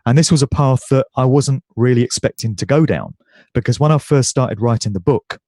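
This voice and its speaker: A man giving a talk as a guest speaker, congested and croaky but speaking fluently over a video call. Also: medium pitch, brisk, warm tone, no room sound, studio quality. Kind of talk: presentation.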